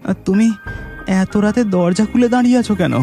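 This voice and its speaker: A man's voice: high-pitched, normal speed, warm tone, faint music behind. A man playing a puzzled young husband in a cartoon, questioning and surprised in manner. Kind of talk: cartoon voice acting.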